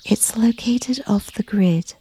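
A woman softly narrating a guided sleep visualization in a breathy, often near-whispered voice with a gentle, unhurried, soothing delivery. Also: a little high. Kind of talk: slow scripted sleep visualization, soft and whispery.

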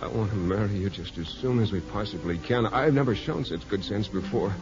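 A man's voice: on the low side, brisk, natural voice. An actor in a 1950s radio drama playing an earnest, lovestruck man who stammers and presses urgently. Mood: happy, insistent. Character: lovestruck, insistent young hospital patient. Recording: noisy, dull 1950s radio recording.

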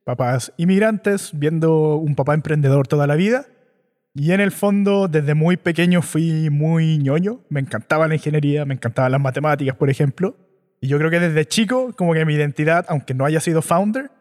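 A man with a Chilean accent, a startup founder, telling his story in a relaxed, reflective interview. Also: on the high side, moderate pace, in a large hall. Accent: Chilean Spanish. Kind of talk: conversational interview.

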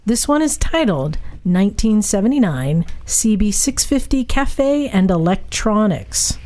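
A woman's voice: medium pitch, very animated, unhurried, dark tone, slight background noise. A woman co-hosting a motorcycle podcast, chatting casually and reading listener emails aloud with a playful, laughing manner. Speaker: podcast co-host.

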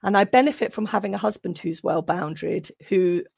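A woman speaking as an interview guest with a gravelly edge of vocal fry. She talks in a thoughtful, reflective way, repeating words as she works toward each point. The sound is close and dry. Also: medium pitch, brisk, a little mellow, no room sound. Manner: thoughtful, repeats and restarts while building points. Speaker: guest, occupational psychologist.

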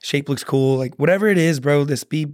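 A man's voice: fairly high pitch, a little fast, dry recording, studio quality. A man speaking earnestly as a podcast guest, often restarting his sentences. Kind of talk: casual podcast chat.

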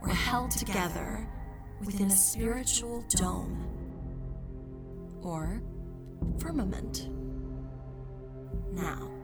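Slow, portentous video host, loud music. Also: female voice, mid-range voice. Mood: solemn, portentous. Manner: solemn, portentous rhetorical questioning. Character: self-important female flat-earth video host, earnestly mystical. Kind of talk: scripted video narration in audio fiction.

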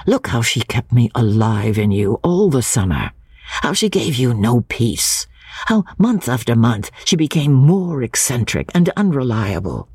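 A woman voicing an earnest, obstinate young man in love in an audiobook, speaking in hushed, fervent phrases. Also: extremely low pitch, very animated, a little slow, slightly rough, no echo, exaggerated voice. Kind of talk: dramatic audiobook character reading.

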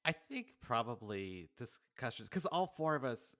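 Male guest, bright punchy tone, dry sound, casual talker who acts out quotes. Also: medium pitch, very animated, unhurried, dry recording. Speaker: podcast guest, audio drama writer-director. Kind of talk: casual chat.

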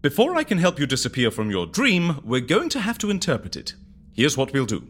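An actor playing an eccentric, playful man in charge in an audio comedy drama, animated and whimsical. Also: a little high. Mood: brisk, playful. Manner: animated, whimsical, quick to switch tone.

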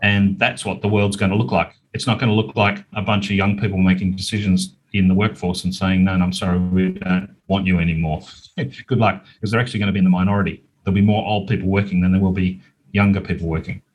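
A man's voice: low voice, fairly even intonation, quick pace, breathy edge, low-quality recording. An Australian man, middle-aged or older, speaking as an outspoken, emphatic guest in a conversational interview. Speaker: interview guest, business owner and author.

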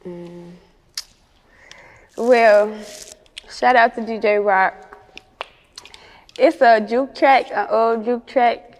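A woman's voice: fairly high pitch, very slow, pauses often, in a large hall. A woman with a Chicago accent chatting casually in an interview as a rapper, with slangy, sweary talk. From Chicago, United States.

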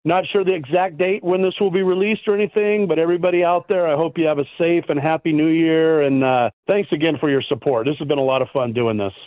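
A man's voice: fairly high pitch, steady tone, fast, few pauses, no room sound. A male guest reminiscing enthusiastically in casual chat, with a slight tickle in his throat. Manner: enthusiastic, reminiscing, many "you know" fillers. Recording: tinny phone line, dry.

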